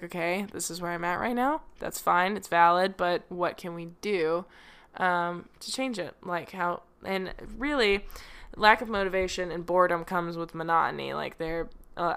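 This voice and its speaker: A young woman talks through her own podcast at home, rambling and joking at her own expense with plenty of fillers. Her voice sits a little low and sounds smooth and clear. She keeps drifting back from her home microphone as she talks. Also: fairly bright tone. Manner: rambling, self-deprecating, many fillers. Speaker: podcast host. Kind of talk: casual solo podcast. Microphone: home microphone, drifting away from it.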